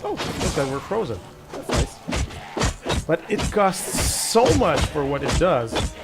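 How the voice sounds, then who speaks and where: Middle-aged man, first language French, gameplay chatter, gravelly fry, rambling with restarts, game music clearly behind. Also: fairly high pitch, sing-song, slow. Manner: rambling, self-deprecating, thinks aloud with many restarts. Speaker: gaming channel host. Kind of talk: casual gameplay commentary.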